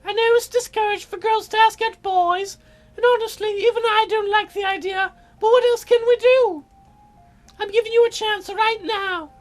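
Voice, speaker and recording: A woman's voice: extremely high pitch, steady tone, normal speed, soft background music, pitched above the performer's own voice. A woman voicing a pushy middle-aged mother from a visual novel, nagging her teenage daughter. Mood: pushy, scolding. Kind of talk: visual novel voice-over put on by a let's-player.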